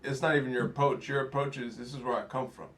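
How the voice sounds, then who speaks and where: A man's voice: medium pitch, steady tone, fast talker, fairly bright tone. A man hosting a casual podcast chat, using laid-back slang and backing up his guests.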